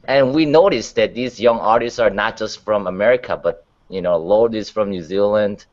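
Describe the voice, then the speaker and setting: A man's voice: lowish voice, normal speed, lo-fi sound. A man giving his views as a panelist in a casual discussion. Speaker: panelist on a music talk show.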